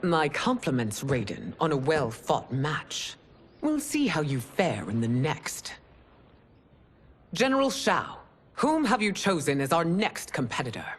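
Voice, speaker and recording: A woman's voice: deep voice, sing-song, unhurried, a little hiss or hum. A woman voicing an imperious empress who speaks with regal condescension. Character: regal imperious female ruler, cold. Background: game score.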